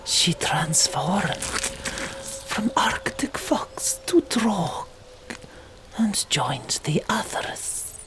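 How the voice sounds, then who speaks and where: A woman narrating an audiobook chapter in a soft, deliberate ASMR whisper. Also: lowish voice, lively intonation. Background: loud music.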